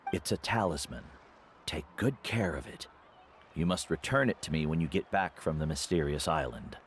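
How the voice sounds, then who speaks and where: An actor voicing a gentle, caring older mentor guide in a video game, speaking in a measured, solemn way. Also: male voice, a bass voice, lively intonation, a little hiss or hum. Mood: calm, caring.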